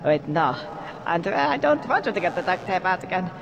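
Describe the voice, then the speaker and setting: A man's voice: sits high, sing-song, fairly quick, creaky, crowd noise, exaggerated voice. A man voicing a cowering, foul-mouthed weasel scientist in a video game, stammering and grumbling with a German accent. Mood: nervous, servile.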